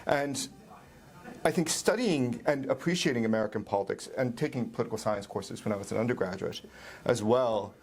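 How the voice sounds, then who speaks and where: A middle-aged man from Ireland, a professor answering interview questions in a measured, explanatory way with frequent pauses and ums. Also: medium pitch, a little mellow.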